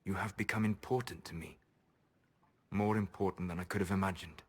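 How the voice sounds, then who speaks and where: An actor playing a grave, gentle elven mage in a video game, speaking softly in measured, formal, solemn phrases with a melancholy wisdom. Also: male voice, low voice, steady tone, breathy edge. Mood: tender. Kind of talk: dramatic video game acting.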